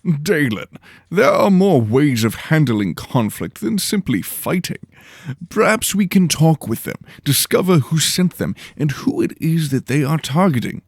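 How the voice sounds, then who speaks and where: A man's voice: fairly high pitch, big pitch swings, unhurried, slightly rough, no echo, clean studio sound, pitched above the performer's own voice, exaggerated voice. A man voicing an eager, pompous lord with a theatrical, self-important manner in a fantasy audio drama. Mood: eager, gleeful. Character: excitable, pompous, eager male lord and mentor.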